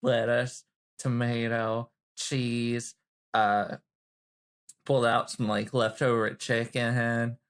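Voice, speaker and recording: A woman's voice: a bass voice, level tone, slow pace. A woman chatting casually and teasingly as she improvises her way through a roleplay game as a player. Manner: playful, teasing, informal. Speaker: player in a tabletop roleplay podcast.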